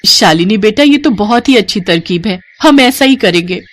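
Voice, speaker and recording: Storybook village mother, played by a woman: middle pitch, tender, humble, earnest and forgiving. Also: lively intonation, moderate pace, no echo, natural voice. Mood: tender, hopeful. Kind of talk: Hindi moral storybook acting.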